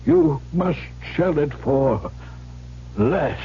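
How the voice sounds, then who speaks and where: A dying old uncle who speaks slowly and solemnly, pressing each warning with emphasis. It is radio drama, with muffled, faintly humming sound. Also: male voice, a little high, lively intonation, dark tone, slight background noise. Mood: solemn, explaining. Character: dying old rich uncle, grave and cautioning.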